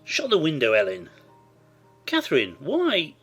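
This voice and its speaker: A woman voicing a stern, anguished gentleman master of the house in a formal, reproachful manner, for a dramatized classic novel. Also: sits low, huge pitch swings, a little slow, slightly rough, pitched below the performer's own voice, full character voice. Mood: alarmed. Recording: music behind the voice.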